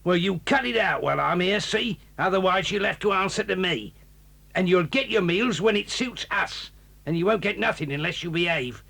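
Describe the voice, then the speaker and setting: A gravelly bullying sailor. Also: male voice, high-pitched, expressive, bright, forceful tone, heavily acted. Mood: menacing. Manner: menacing, slow and threatening. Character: gruff bullying middle-aged sailor husband. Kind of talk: 1980s audio drama acting.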